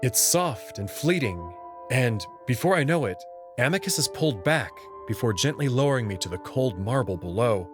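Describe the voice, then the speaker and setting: A man giving a measured, scripted first-person narration as he reads a visual novel. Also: mid-range voice, expressive. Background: prominent music.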